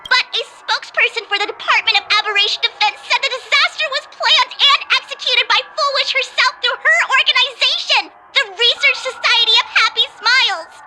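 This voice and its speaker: A woman's voice: very high voice, fairly quick, hardly any pauses, sharp tone, a little hiss or hum, full character voice, rough recording. A woman playing a theatrical, emphatic female TV news anchor delivering sensational bulletins in a video game. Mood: dramatic. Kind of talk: sensational scripted news broadcast.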